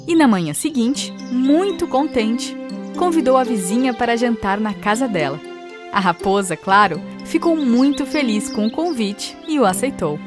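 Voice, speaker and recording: Female storyteller, Brazilian accent, somewhat high pitch, scripted narration for young children over a music bed. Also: animated, moderate pace.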